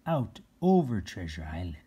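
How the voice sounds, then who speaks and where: A children's read-aloud host with a husky voice, reading a picture book and adding playful exclamations and explanations for young listeners. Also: sits low, very animated, normal speed, dark tone. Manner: exclaims, gasps, chuckles and explains words to children.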